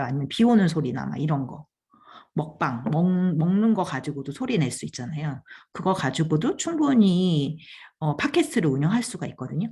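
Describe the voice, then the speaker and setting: Woman, low voice, husky, chatty host, laughs a lot. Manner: chatty, laughs often, trails off mid-phrase. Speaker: channel host giving advice on podcasting. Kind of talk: casual talk to camera.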